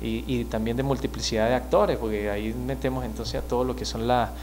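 A man in his thirties from Venezuela, with a Venezuelan accent, speaking as a criminologist guest in a studio interview, measured and explanatory with frequent hesitations. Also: mid-range voice, a little mellow, a little hiss or hum. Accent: Venezuelan Spanish. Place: small TV studio. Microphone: mic on desk stand.

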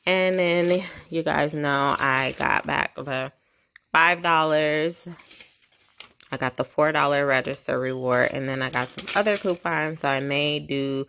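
Brief: chatty couponing vlogger woman, low gravelly voice, phone-recorded at home. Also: fairly bright tone. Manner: chatty, rattles off prices and totals. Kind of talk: casual shopping haul walkthrough.

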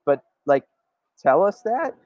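A man's voice: sits high, sing-song, takes it slowly, a little mellow, no room sound, dull audio. A man co-hosting a sports show, giving emphatic opinionated commentary and often checking for agreement. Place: indoor room, video call.

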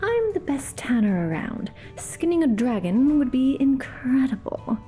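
A woman's voice: high-pitched, very animated, measured pace, warm tone, faint music behind, voice pushed up. A woman voicing a bold, clever young man who is a tanner, speaking wryly.

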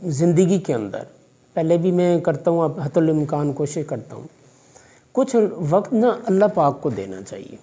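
A middle-aged Pakistani college vice principal with a Pakistani Urdu accent, answering interview questions in a measured, fatherly way with religious phrasing and hesitant fillers, recorded in an office by a distant camera. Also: fairly high pitch, very animated, warm tone. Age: 50s. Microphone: far camera.

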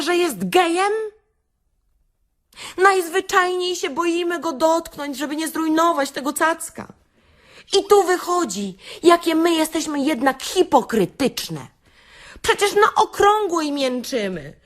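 A wry, chatty woman played by an actress holds forth in a comic audio-play monologue. Her voice sits fairly high and she takes her time. She confides theatrically, leaving hesitant pauses and tossing out rhetorical questions. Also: full character voice. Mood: mock-earnest. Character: chatty, wry woman workshop speaker musing on men and women.

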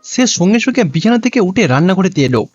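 Casting: male cartoon story narrator, high pitch, lively melodic read, lo-fi track. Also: rapid-fire, soft background music.

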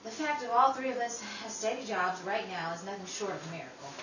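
A woman's voice: mid-range voice, quick pace, a bit bright, close to an everyday voice. A woman playing a sensible, protective working sister in an old-time radio style drama, motherly and emphatic. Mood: weary, practical. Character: Emily, sensible, protective young working sister, firm peacemaker. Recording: roomy, distant mic, noisy.

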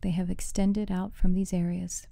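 Female meditation guide, very soft dark tone, even pitch, soothing and measured, close dry sound. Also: no echo. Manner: soothing, measured, with soft breathy phrase endings. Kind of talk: guided meditation, slow instruction.

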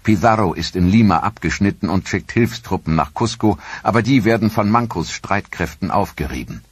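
A man narrating a history documentary in a measured, sober manner. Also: sits low, expressive, slightly rough, dry recording. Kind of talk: scripted narration. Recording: dry studio voice-over.

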